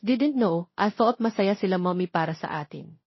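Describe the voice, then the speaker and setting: A woman's voice: mid-range voice, animated, moderate pace, slightly rough, no echo, lo-fi sound. A woman voicing a remorseful, heartbroken man with an apologetic, self-blaming manner in an audio romance. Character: remorseful, guilt-ridden man, a heartbroken lover. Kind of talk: dramatic audio romance acting.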